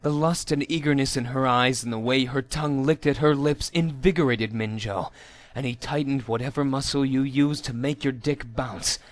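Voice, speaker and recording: A man's voice: medium pitch, fairly even intonation, moderate pace. A man reading a story aloud as scripted narration, in a theatrical manner. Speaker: amateur story reader.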